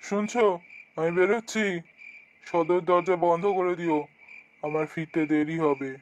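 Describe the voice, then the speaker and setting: A man's voice: on the high side, unhurried, a little hiss or hum, natural voice, poor audio. A man playing a stern, protective uncle in a dramatic cartoon, speaking in a commanding, urgent manner. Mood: matter-of-fact. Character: stern, protective adult uncle investigating spooky happenings. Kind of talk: dramatic scripted cartoon dialogue.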